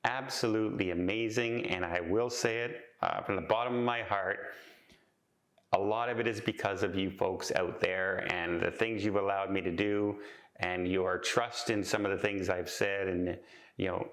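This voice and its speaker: A chatty man speaking in a slightly low, calm voice. He talks in a heartfelt, rambling way, often restarting his sentences as he reflects. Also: moderate pace. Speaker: travel vlogger. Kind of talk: casual reflective vlog talk.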